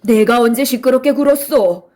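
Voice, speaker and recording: A woman's voice: on the high side, moderate pace, darkish tone, voice pushed up, natural voice. A woman playing an upright male scholar in exile, firm and defiant, in a children's storybook. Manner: firm, stern.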